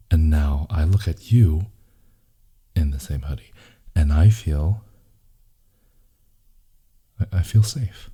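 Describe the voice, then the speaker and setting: A man playing a brooding, possessive, lovelorn boyfriend in an intimate ASMR roleplay, in a hushed, husky, gravelly voice that often slips into whispers. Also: very deep voice, heavily acted. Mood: wounded, tender. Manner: slow, hushed, intimate murmuring.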